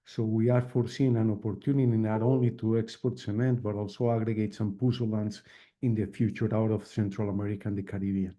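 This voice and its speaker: A man's voice: lowish voice, level tone, quick pace, warm tone. A man speaking as a company executive, answering questions on a business call, with muffled call audio.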